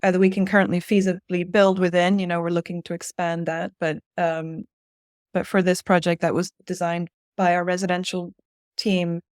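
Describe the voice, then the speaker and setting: Female interview guest from Spain, forties, low-leaning pitch, conversational, dry close recording. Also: darkish tone, dry recording. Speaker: interview guest, director at a design-build firm.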